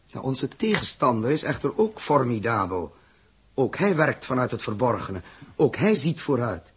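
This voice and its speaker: A very husky male spaceship captain in 1960s radio drama. He reasons calmly with his crew, and his medium-pitched voice moves in lively steps. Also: normal speed. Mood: thoughtful.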